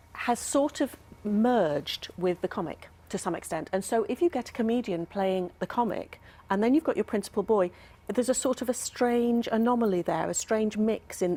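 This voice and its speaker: A woman's voice: mid-range voice, moderate pace, dark tone. A woman speaking as an expert in a studio interview, explaining things thoughtfully with some hesitation. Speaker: academic expert guest, professor.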